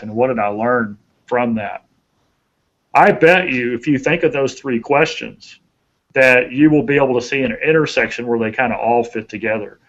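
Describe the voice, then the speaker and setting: A man's voice: mid-range voice, a bit bright, muffled recording. A man giving conversational motivational advice as a podcast co-host, in a folksy, earnest way, repeating phrases for emphasis.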